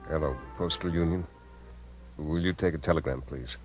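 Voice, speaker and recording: Very deep-voiced, confident uncle. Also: male voice, normal speed, highs cut off, over loud music. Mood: smooth, assured. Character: suave, confident visiting uncle sending a telegram. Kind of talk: 1950s radio drama acting. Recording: vintage 1950s radio broadcast.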